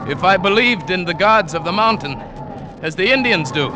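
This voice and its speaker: An actor playing a weary, humble South American mountain guide in a vintage radio drama, speaking formally and gravely. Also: male voice, high-pitched, lively intonation, moderate pace. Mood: grave, ominous. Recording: vintage radio recording, noisy in places.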